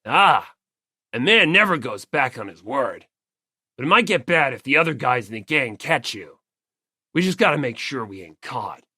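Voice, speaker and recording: A performer voicing a brash, gruff teenage male biker gang leader in an audiobook, blunt, proud and slangy. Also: medium pitch, very animated, normal speed, sharp tone, no room sound, studio quality, exaggerated voice. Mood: earnest, boastful. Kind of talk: audiobook character acting.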